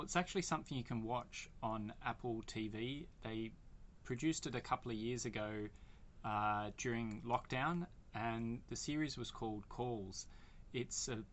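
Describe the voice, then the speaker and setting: Guy chatting casually, bit hesitant, podcast editor recommending stuff, noticeable noise behind him. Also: medium pitch.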